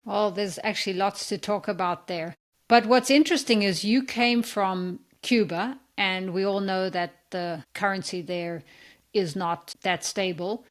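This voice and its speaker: Female podcast host, medium pitch, steady interviewer. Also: measured pace. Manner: welcoming, steady questions.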